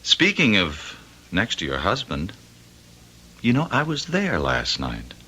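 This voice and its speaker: Forceful, husky, self-effacing admiring man. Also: lowish voice, huge pitch swings, slow, full character voice. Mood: wondering, confiding. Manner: hesitant, effusive, self-effacing. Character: starstruck, self-deprecating man, gushing and confiding. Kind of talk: old-time radio drama acting.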